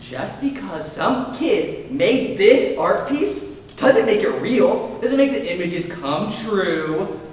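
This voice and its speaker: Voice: medium pitch, animated, takes it slowly. A young performer playing a scornful teenage student who rants and scoffs, heard on stage from far off in an echoey hall. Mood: ranting. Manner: scoffing, ranting.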